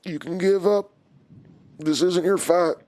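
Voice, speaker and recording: An actor playing a calm, menacing inhuman villain in an audio drama, with a deliberate, taunting manner. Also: male voice, sits high, no echo. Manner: slow, deliberate, controlled, taunting.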